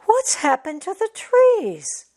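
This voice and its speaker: A woman voicing a curious, excitable young male tiger cub in a storybook, with an eager, questioning manner. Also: very high voice, slow, pitched above the performer's own voice, heavily acted.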